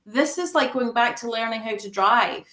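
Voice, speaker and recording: A woman coach, a guest, explaining calmly and clearly with everyday analogies. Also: on the high side. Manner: calm, measured explanations using analogies. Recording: echoey room.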